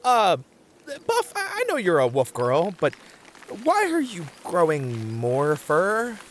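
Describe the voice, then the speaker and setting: Man playing an anxious, animated young camper. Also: a little high, takes it slowly, slight background noise, exaggerated voice. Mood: alarmed. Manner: hesitant, stammering, wry. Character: anxious, sensible young male camper, exasperated voice of reason. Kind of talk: ASMR audio roleplay comedy acting.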